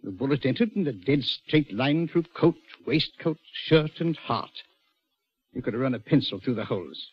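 An actor playing a brusque, nervy prison governor under strain, curt and blustering, in 1940s radio style. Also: male voice, mid-range voice, expressive, moderate pace, slightly rough. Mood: irritated, scornful. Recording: muffled 1940s radio recording.

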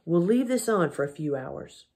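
Woman reading as a stern, brisk farm mother. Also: on the low side, big pitch swings, darkish tone. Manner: brisk and practical. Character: stern, stubborn mother turned caring.

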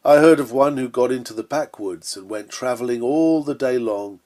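A man with a mid-pitched voice reads a Victorian sermon aloud. His delivery is solemn and earnest, in the manner of a preacher, with emphatic stress on key phrases. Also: normal speed, darkish tone. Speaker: sermon reader.

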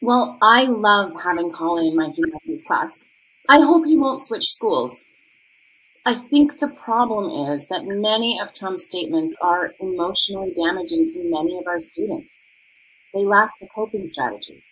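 A woman's voice: mid-range voice, expressive, normal speed, fairly bright tone, close to an everyday voice, poor audio. A woman in her forties playing a caring, tactful humanities teacher in a dramatized school meeting from a room at home. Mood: concerned, tactful.

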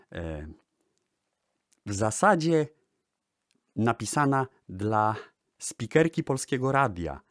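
Male radio host, low register, unhurried and deliberate, introducing records in a dry, close recording. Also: no echo. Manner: deliberate, pausing between short phrases. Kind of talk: spoken music radio programme narration with digressions.

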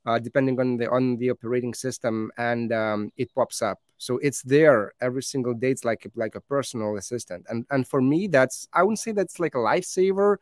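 A man in his thirties, a native Lithuanian speaker, gives a conference talk over slides, halting with many fillers and restarts. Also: medium pitch, dry recording, clean studio sound.

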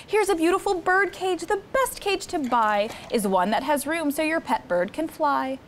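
A young woman hosts a children's story time in a high voice full of swoops and lifts. She reads the picture book expressively and talks to the kids in an encouraging way. A low rumble of noise sits behind her voice. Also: animated, moderate pace. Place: studio set with seated children.

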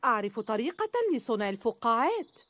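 A woman voicing a sensible, gentle mother pig in a dubbed cartoon. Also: fairly high pitch, very animated, a little fast, a little hiss or hum. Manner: kindly, practical.

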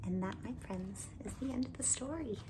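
A woman's voice: fairly high pitch, normal speed, background noise. A woman in her thirties, a teacher reading a picture book aloud to children, adding friendly asides and explaining words, recorded close on a phone camera in a small room at home. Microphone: phone or laptop camera close.

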